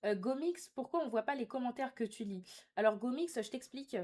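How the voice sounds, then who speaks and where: A woman's voice: on the high side, expressive, a little fast, darkish tone. Young woman, sassy live streamer. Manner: teasing, sassy, slangy. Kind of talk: casual live chat, reading and answering viewer comments.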